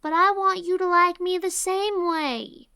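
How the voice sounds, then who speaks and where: Small boy, sulky and defiant yet eager for approval. High, sharp childlike voice for storybook drama. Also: fairly even intonation, a little slow, dry recording, studio quality, heavily acted. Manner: ungrammatical small-child talk, defiant and plain-spoken. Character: sulky, earnest little boy seeking approval. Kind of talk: audiobook drama acting.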